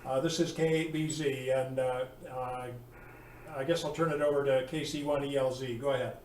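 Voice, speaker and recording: A man chatting casually as a radio operator, speaking in a halting, polite, informal way. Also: mid-range voice, fairly even intonation, darkish tone. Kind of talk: casual ham radio chat. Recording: roomy, distant mic, faint noise.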